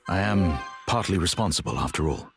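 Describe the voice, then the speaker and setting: A man voicing a grave, honourable, regretful warrior in a video game, earnest and measured. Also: low voice, lively intonation, faint music behind. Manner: earnest, measured, formal, brooding. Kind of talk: dramatic video game voice acting.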